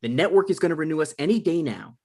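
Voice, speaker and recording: A man playing a deadpan, argumentative adult son and aspiring writer in a comedy script read. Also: on the high side, quick pace. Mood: pleading, defensive.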